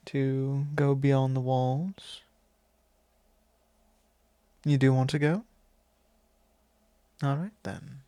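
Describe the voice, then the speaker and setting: Guy doing a possessive vampire lord for asmr, really slow n soft, creaky, breathy whispery bits. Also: medium pitch, dry recording. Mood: courteous, inviting. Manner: soft, drawn-out, genteel old-fashioned phrasing. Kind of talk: ASMR roleplay. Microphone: close microphone.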